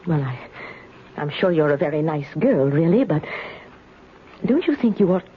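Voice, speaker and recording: An actress playing a timid but stubborn old spinster in a 1970s radio drama, hesitant and querulous. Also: female voice, deep voice, sing-song, moderate pace. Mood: hesitant, flustered. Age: older. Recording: muffled, hissy 1970s radio recording.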